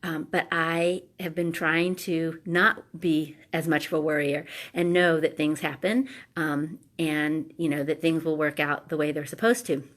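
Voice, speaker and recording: A woman's voice: deep voice, level tone, unhurried, hardly any pauses. A middle-aged woman, congested and nasal from allergies, hosting a casual live talk full of ums and restarts. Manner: hesitant, many ums and restarts. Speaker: live video host.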